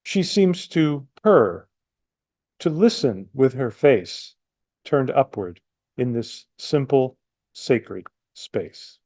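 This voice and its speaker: A man presenting and reading sonnets aloud in a measured, plain-spoken way. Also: mid-range voice, darkish tone, no room sound. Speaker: poetry reader.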